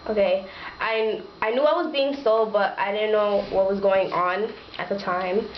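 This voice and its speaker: A woman's voice: medium pitch, unhurried, close to an everyday voice. A woman acting a young trafficking victim in a student mock interview, hesitant and casually plain-spoken. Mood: uneasy, hurt. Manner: hesitant, casual youthful phrasing. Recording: echoey, noisy lo-fi room recording.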